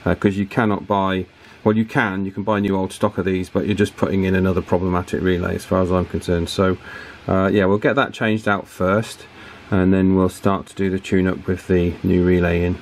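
A man giving a hands-on repair tutorial at a workbench in an informal, matter-of-fact way with hesitant pauses. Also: low-pitched, little pitch movement, a little hiss or hum. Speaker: radio repair technician and presenter. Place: indoors at an electronics workbench.

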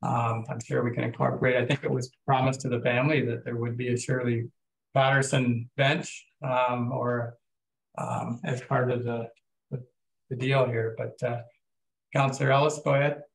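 A Canadian man chairing a municipal council meeting as mayor, moving motions along in a plain, steady way over a video call. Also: mid-range voice, normal speed, gravelly, roomy sound, poor audio.

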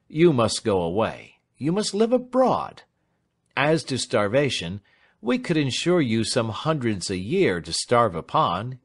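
A shrewd, whimsical old lawyer from classic fiction, played by a male narrator. He is dry and emphatic, swinging widely in pitch as he slowly and deliberately makes his points. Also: breathy edge, heavily acted. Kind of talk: dramatised reading of classic fiction.

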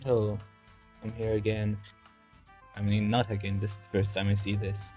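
A man voicing a whiny, bossy young hedgehog hero in a halting, rambling comic game dub. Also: on the low side, little pitch movement, warm tone, natural voice. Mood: confused. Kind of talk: improvised comedic video game fandub. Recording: music under the voice. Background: music.

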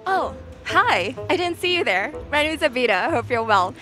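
A woman's voice: high-pitched, big pitch swings, moderate pace, bright, forceful tone, faint music behind. A woman hosting a playful street interview outdoors on a busy city shopping street, asking passersby questions and teasing them with laughs. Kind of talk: upbeat vox-pop hosting.

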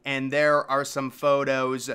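A man's voice: medium pitch, completely flat delivery, takes it slowly, bright, forceful tone, smooth. A man hosting an informal video, mixing documentary-style narration with casual, slangy commentary.

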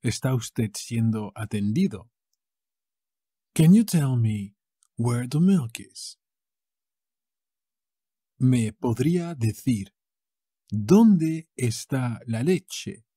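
Castilian spanish guy teaching phrases, super slow, says each one over again, mellow husky voice. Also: medium pitch, animated, no echo, clean studio sound. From Spain. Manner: says each phrase slowly and repeats it with variants. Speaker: language teacher. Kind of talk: language lesson.

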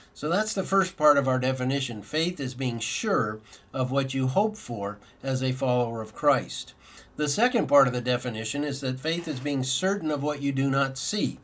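A man's voice: medium pitch, fairly bright tone. A middle-aged man pastor giving a sermon from a desk in an office, earnest and explanatory, asking rhetorical questions and repeating his points. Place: indoor office with bookshelves.

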